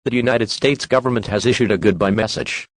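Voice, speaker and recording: A man's voice: lowish voice, steady tone, a little fast, no echo. A man reading a scripted emergency alert announcement as narrator.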